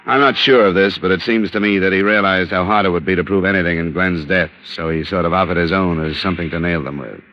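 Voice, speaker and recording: An actor playing a tough, dogged insurance investigator in 1950s radio drama style, clipped, deliberate and wry. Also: male voice, deep voice, natural voice. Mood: measured, grim. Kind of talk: 1950s radio drama acting, first-person crime narration. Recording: dull old radio recording with music.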